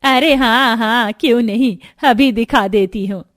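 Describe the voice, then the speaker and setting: Woman as high-voiced cartoon mother-in-law. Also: unhurried, fairly bright tone, dry recording, clean studio sound. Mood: affable. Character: middle-aged mother-in-law, bossy but affable matriarch.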